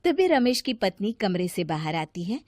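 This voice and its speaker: A woman reading scripted narration for an animated moral story. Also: medium pitch, moderate pace, dry recording, clean studio sound.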